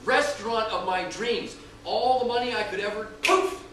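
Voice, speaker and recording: A man's voice: high-pitched, normal speed, pushed and bright, slight background noise. A man playing a harried, exasperated restaurant owner and husband in a staged reading, blunt and everyday, in an echoey room at a distance. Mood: bitter, deflated. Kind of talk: staged screenplay reading, naturalistic dialogue.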